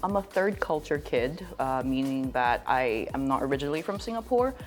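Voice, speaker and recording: A woman's voice: very deep voice, normal speed, faint music behind. A young woman from Thailand talking casually and expressively as a guest on a discussion show. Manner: expressive, animated, laughs often. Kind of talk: casual conversation.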